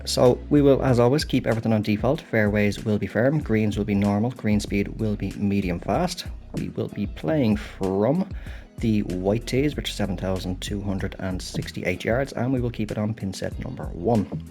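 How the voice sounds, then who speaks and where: British guy commentating a golf game, lowish voice, kinda stuffed up and throaty. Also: normal speed, mellow, soft background music. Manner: chatty, apologetic, thinking aloud while playing. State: slightly throaty and stuffed up from a cold. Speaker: gaming channel host.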